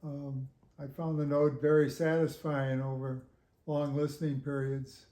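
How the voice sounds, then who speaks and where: A man in his seventies giving casual interview answers in a halting, measured way with frequent pauses. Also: fairly high pitch, flat delivery, dark tone. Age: 70s. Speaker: guest reviewer of audio gear. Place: home living room with hi-fi gear. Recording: echoey room.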